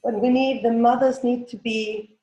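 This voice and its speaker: A woman's voice: on the high side, fairly even intonation, normal speed, dark tone. Danish woman in an echoey room. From Denmark. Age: 40s. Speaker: guest, teen coach and business owner. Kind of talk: casual interview answers. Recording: roomy, echoing sound.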